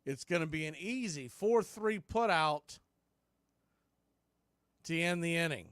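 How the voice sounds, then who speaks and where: Guy doing baseball play by play, kinda high voice, dry sound, short clipped calls. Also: expressive, measured pace, fairly bright tone, clear, resonant tone, dry recording. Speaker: baseball play-by-play announcer and show host. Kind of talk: live baseball play-by-play commentary.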